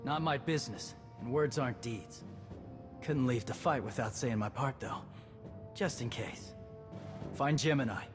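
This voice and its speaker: A man's voice: mid-range voice, measured pace, pauses often, over music, lightly acted. Terse, stoic male warrior played by an actor in reverb. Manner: terse, understated. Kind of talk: dramatic video game acting.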